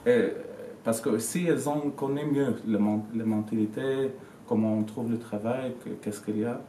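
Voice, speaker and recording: A man's voice: medium pitch, steady tone, normal speed, dark tone. A man in his twenties from Syria, speaking French as an interviewee, searching for words but talking with conviction. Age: 20s. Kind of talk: casual testimony in a learned language. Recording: roomy, distant mic, faint noise.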